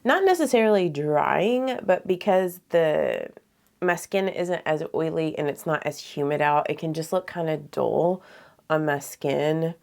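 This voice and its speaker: A woman's voice: low-pitched, unhurried, gravelly, a little mellow. A woman vlogger chatting casually through her makeup routine, sounding slightly congested and nasal, with lots of filler words. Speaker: beauty vlogger. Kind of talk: casual get-ready-with-me talk.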